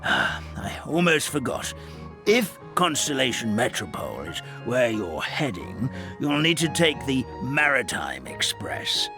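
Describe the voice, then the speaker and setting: A kindly old storybook grandfather from a fantasy game. His pitch leaps and plunges as he talks in halting, theatrical bursts with sighs. Prominent music plays behind him. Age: older. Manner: halting, sighing, dramatic, swooping. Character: kindly, excitable old grandfather of a fairy-tale forest. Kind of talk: English game dub, storybook fantasy acting.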